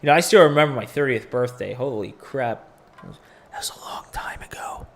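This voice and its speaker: A man's voice: medium pitch, normal speed, creaky, fairly bright tone, a little hiss or hum. A man in his forties hosting a crypto live stream, talking casually and candidly, rambling with profane asides. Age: 40s. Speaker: crypto podcast host.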